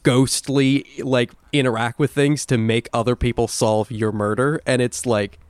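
A man's voice: medium pitch, fairly even intonation, unhurried, clean tone, no room sound. A man co-hosting a podcast, chatting casually and emphatically about video games in informal, sweary language. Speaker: podcast co-host.

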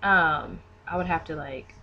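A woman's voice: low voice, unhurried, lo-fi sound, a little hiss or hum. A woman podcast guest chatting casually and informally, thinking aloud as she goes. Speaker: podcast guest, beauty business owner. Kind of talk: casual conversational chat.

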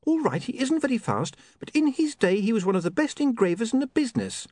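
A man voicing a prim, sharp young lady, indignant and suspicious, in an audiobook story. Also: very high voice, very animated, quick pace, no room sound, pitched above the performer's own voice.

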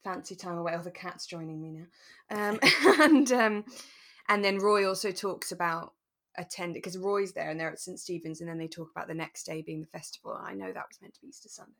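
A woman co-hosting a podcast, chatting in a relaxed, casual way and telling things with animation. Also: on the low side, brisk. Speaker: podcast co-host. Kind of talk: relaxed casual chat about a radio drama.

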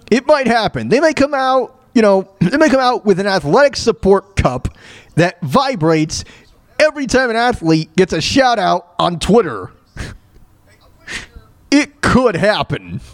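A man's voice: high voice, very animated, unhurried, long pauses, no echo. A man chatting casually as a podcast host, rambling and repeating his phrases as he reacts. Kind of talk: casual chat, reacting to a video.

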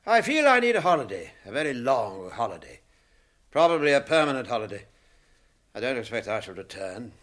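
A man's voice: mid-range voice, very animated, brisk, fairly bright tone. A British man playing a fussy, testy old hobbit in a radio drama, chatty and prickly in manner. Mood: wistful.

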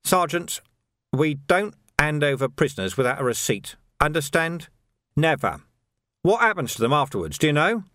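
A narrator puts on a stern middle-aged watch commander who lays down the rules in a brisk, commanding way. His pitch swings widely as he presses each point. The reading is close and dry, with no room sound. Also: sing-song, fairly bright tone, no room sound. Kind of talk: audiobook character voice.

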